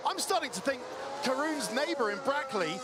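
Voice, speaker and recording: A man reporting live from a pit lane, chatty and excitable as he describes what he sees. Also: very high voice, normal speed, over loud music. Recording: loud pit lane vehicle noise behind.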